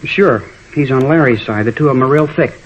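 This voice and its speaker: A defiant teenage troublemaker acted by a man in a 1950s radio drama. He fires off surly, defensive words quickly. The sound is dull and aged, with faint noise. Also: medium pitch, natural voice. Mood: sullen. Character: sullen, defiant fifteen-year-old boy, cocky troublemaker. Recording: muffled, faintly noisy old radio recording.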